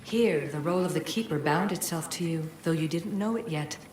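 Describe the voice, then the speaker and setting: Echoing, low-voiced ancient goddess, played by a woman. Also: darkish tone, natural voice. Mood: solemn. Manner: formal, measured, oracular. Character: ancient godlike female being, serene, cryptic, solemn. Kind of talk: video game voice acting. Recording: echoing voice with music behind.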